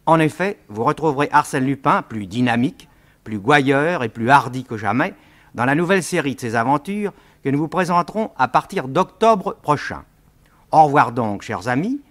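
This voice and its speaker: Courteous male radio presenter, medium pitch. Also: expressive, fairly bright tone. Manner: courteous, formal, measured. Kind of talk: formal 1950s French radio introduction.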